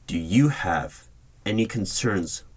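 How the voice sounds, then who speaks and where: A man playing a polite, guarded hiring manager in an ASMR interview roleplay, speaking aloud in a measured, formal, deliberate way with pauses. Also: lowish voice, husky. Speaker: roleplay performer as job interviewer. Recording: steady noise with keyboard typing clicks. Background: computer keyboard typing and clicks.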